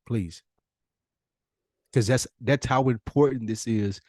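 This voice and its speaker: A man's voice: mid-range voice, takes it slowly, warm tone, dry recording, studio quality. An American man co-hosting a casual podcast, talking earnestly and repeating phrases for emphasis. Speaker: podcast co-host.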